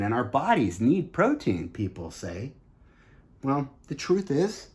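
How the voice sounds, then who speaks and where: A man with an average-pitched voice reads aloud to children like a teacher. His intonation swings widely as he stresses key points. The delivery is earnest and instructive, and he adds explanations for young listeners as he goes. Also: warm tone. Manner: earnest, emphatic, didactic. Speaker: teacher and host of a children's read-aloud show. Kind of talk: expressive picture-book reading with asides to young listeners.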